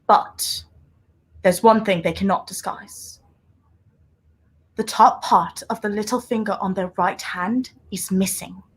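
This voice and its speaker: A woman playing a mysterious, hunted secret agent in a 1930s radio play, intense and secretive, with deliberate theatrical delivery. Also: medium pitch, steady tone, slightly rough. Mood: grave, warning.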